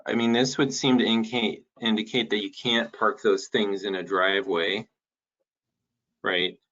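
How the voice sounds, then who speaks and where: A man's voice: on the low side, fairly even intonation, moderate pace, a bit bright, dull audio. A man talking through details as a city planner in an informal meeting, hesitant and thinking aloud. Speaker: city planner presenting a code draft.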